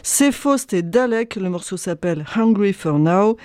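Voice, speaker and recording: A woman's voice: medium pitch, expressive, unhurried, darkish tone, no echo. A woman giving a playful radio music column, chatty and self-mocking, her throat a little congested.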